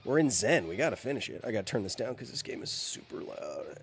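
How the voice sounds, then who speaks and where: A man's voice: fairly low pitch, big pitch swings, quick pace, creaky, faint music behind. A man live-streaming a game, chatting to his viewers in a rambling, jokey way while getting over bronchitis, a little congested. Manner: rambling, jokey, talks to chat.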